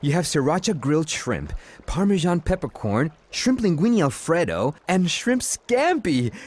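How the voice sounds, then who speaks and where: An actor playing an effusive, amorous copywriter with a florid, theatrical manner in an audio drama. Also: male voice, fairly high pitch, sing-song, unhurried, darkish tone. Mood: awed, exuberant. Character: gushing, amorous Brazilian male ad copywriter.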